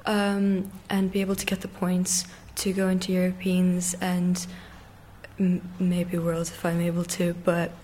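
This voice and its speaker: A woman in her late teens from Ireland and Russia, answering interview questions conversationally and pausing to find her words. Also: fairly low pitch, completely flat delivery, dark tone, slight background noise. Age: teens, around 17. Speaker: interview guest, competitive figure skater.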